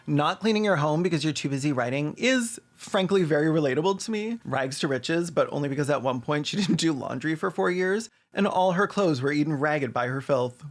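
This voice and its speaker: A man's voice: on the high side, lively intonation, fairly quick, few pauses. Sardonic man doing scripted commentary. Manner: sardonic, casually sweary. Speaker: video essayist host.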